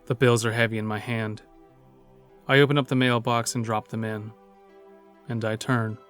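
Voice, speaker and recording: A man playing a weary, brooding character who narrates his own story in an introspective, measured way. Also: mid-range voice, flat delivery, fairly bright tone, smooth, soft background music, close to an everyday voice. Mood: brooding, wistful. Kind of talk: first-person audio drama narration.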